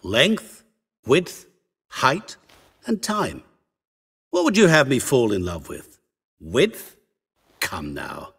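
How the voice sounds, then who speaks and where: An actor voicing a suave, sinister otherworldly merchant in a video game, theatrical, smug and teasing in a deliberate way. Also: male voice, mid-range voice, wildly swooping pitch, creaky, a bit bright, husky, heavily acted. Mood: amused, theatrical.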